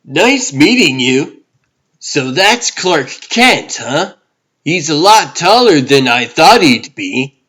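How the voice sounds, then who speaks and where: A man's voice: fairly high pitch, big pitch swings, slow, fairly bright tone, exaggerated voice. A man playing a cheerful, breezy, chatty boyfriend in a comic book dub. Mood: breezy, upbeat.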